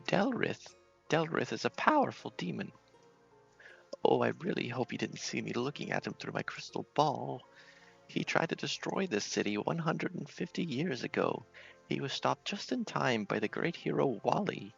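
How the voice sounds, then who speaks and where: A man's voice: mid-range voice, very animated, normal speed, gravelly, fairly bright tone, husky, lo-fi sound, soft background music, pitched above the performer's own voice, exaggerated voice. A man voicing a dramatic, fearful old female fortune teller from a fantasy game, theatrical and foreboding. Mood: alarmed, foreboding. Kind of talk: fantasy game character voice in a read-aloud playthrough.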